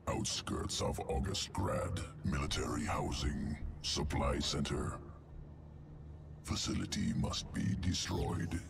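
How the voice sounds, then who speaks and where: A man voicing a cold, clinical alien creature in a video game, with a gravelly growl and clipped, detached phrasing. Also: extremely low pitch, big pitch swings, takes it slowly, noisy background, full character voice. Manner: clipped, detached, omits small words. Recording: music under the voice.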